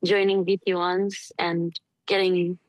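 A young woman from Fiji with a Fijian accent, a singer answering interview questions shyly and haltingly. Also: lowish voice, little pitch movement, no echo, low-quality recording. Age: young adult. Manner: shy, laughs often, halting. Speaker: singer, guest. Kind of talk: casual interview.

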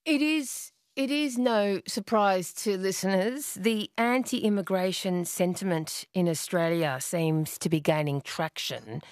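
A woman's voice: medium pitch, unhurried, few pauses, dry recording, clean studio sound. A woman hosting a radio talk show, interviewing a guest in a conversational way with leading questions. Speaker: radio host.

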